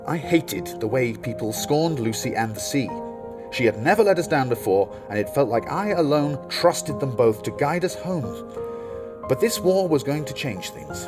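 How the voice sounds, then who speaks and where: A man's voice: lowish voice, lightly acted. An actor playing a devoted male ship passenger, telling his story in an intimate, lyrical first-person monologue for an audio drama. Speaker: narrator-protagonist of an audio play. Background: loud music score.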